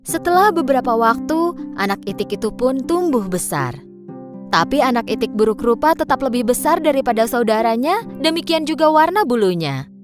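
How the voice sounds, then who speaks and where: High, lively woman storyteller over music. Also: a little fast. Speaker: children's story narrator. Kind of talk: expressive scripted storybook narration.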